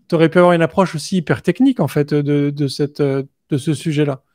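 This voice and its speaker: A man's voice: on the high side, steady tone, a little fast, a little mellow. A man hosting a podcast, asking questions in a casual, hesitant way with many fillers. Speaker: podcast host.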